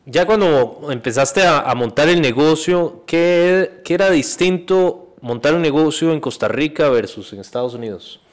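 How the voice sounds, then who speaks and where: A man's voice: a little high, animated, moderate pace, few pauses. A man co-hosting a podcast, asking the guest curious, direct questions in a casual tone.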